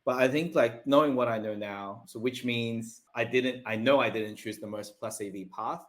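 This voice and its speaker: A man's voice: mid-range voice, moderate pace. A man, talking loosely as a podcast guest and often checking in with tag questions. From Australia. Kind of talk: casual interview talk.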